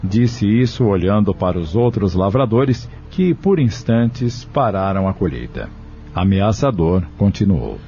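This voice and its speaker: A man's voice: on the low side, sing-song, unhurried. A man narrating a scripted audio novel in a dramatic storytelling style. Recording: dull, radio-like lo-fi audio. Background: soft music.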